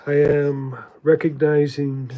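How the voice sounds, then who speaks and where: A man giving a contemplative spiritual monologue in a halting, deliberate way, repeating words for emphasis. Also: a little high, little pitch movement, narrow, tinny audio. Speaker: spiritual teacher reading from own writings.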